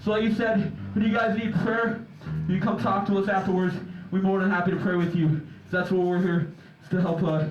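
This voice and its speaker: A man's voice: sits high, little pitch movement, brisk, few pauses. A man speaking from a venue stage, earnestly urging the crowd in a preaching style. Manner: earnest, exhorting. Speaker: band member speaking from stage. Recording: echoey venue, dull sound, faint music.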